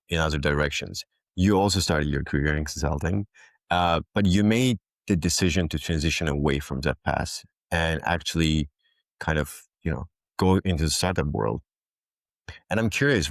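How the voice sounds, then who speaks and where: A man hosts a podcast in a casual, unpolished way, often circling back and restarting his phrases. His voice sits very low and deep. The sound is dry and close, with no room around it. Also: dry recording. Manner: loose, halting, with frequent restarts. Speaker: podcast host. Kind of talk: casual interview chat.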